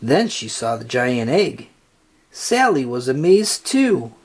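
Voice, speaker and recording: A narrator reading a children's storybook aloud in a deliberate, expressive storytelling manner. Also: medium pitch, husky.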